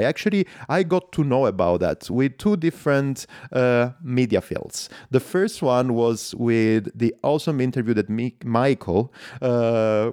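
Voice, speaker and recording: A man's voice: medium pitch, a little mellow, no echo, studio quality. A man with an Italian accent and native Italian, hosting a casual interview in an effusive, informal way. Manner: effusive, informal, frequent intensifiers. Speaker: podcast host, interviewer.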